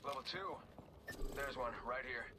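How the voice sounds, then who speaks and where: A man's voice: medium pitch, moderate pace, crowd noise, natural voice. An actor playing an alert male squad soldier giving short combat callouts in a video game.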